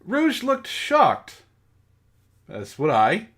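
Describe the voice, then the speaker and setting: A man's voice: high-pitched, huge pitch swings, very slow, a bit bright. A man co-hosting a comedic book club, reading amateur fiction aloud in a mock-serious way with joking asides. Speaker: podcast co-host and story reader.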